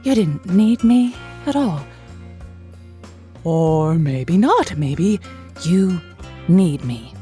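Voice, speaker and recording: An actress playing a wry late-night radio advice host with a slow, sultry on-air delivery. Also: female voice, fairly low pitch, sing-song, warm tone, faint music behind, heavily acted.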